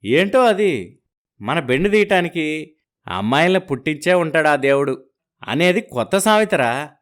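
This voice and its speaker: A young bachelor in a cartoon comedy, acted by a man. He banters with mock gloom and slang, his male voice sitting slightly high. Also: sing-song, unhurried, a bit bright. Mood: mock-gloomy banter.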